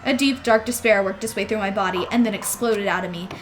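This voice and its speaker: A woman's voice: medium pitch, fast talker, over music, pitched below the performer's own voice, natural voice. Woman as a subdued, brooding teenage student narrator. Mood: grave, shaken. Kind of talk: scripted first-person story narration.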